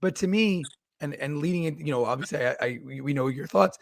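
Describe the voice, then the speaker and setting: Man, hoarse, gravelly, high voice, rambling sports talk host. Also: a little fast, no room sound, studio quality. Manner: rambling, builds questions out loud. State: hoarse, has lost his voice.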